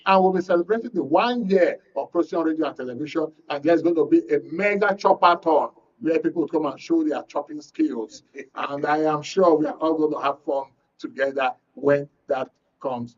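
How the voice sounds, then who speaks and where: A Nigerian man presents a live talk programme as its host. His voice sits on the high side. The sound is muffled and a little away from the microphone. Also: normal speed, a little mellow, distant mic. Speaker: radio and TV show host. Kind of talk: live talk show hosting. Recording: dull audio, away from the mic.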